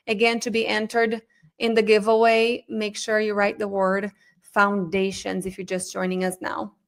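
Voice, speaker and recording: A middle-aged woman from Brazil, a native Portuguese speaker, hosting an informal live online lesson in a chatty, animated way that addresses viewers directly. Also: mid-range voice. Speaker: course instructor and webinar host.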